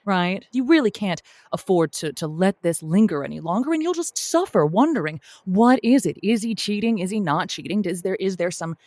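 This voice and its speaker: A woman playing a kindly, flustered advice show host who speaks haltingly, with wry tact, as in an improvised comic call-in show. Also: mid-range voice, lively intonation, a little mellow, dry recording, natural voice. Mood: wry, advising. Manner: halting, wry, tactful, stammering over delicate words. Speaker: radio host.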